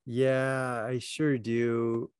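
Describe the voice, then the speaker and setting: A middle-aged man telling fishing stories in a slow, reflective way with frequent pauses, speaking from a home office over a video call with earbuds. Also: mid-range voice, monotone, a little mellow, clean tone, dry recording, studio quality. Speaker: podcast guest, fly fishing writer. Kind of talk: casual storytelling. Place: home office with bookshelves, indoors. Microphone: wired earbuds, video call.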